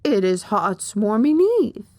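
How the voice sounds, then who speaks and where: Stern, exasperated mother mare for an audiobook female actor, very slow scolding delivery, medium pitch. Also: lively intonation, dark tone, no room sound. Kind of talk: audiobook character acting.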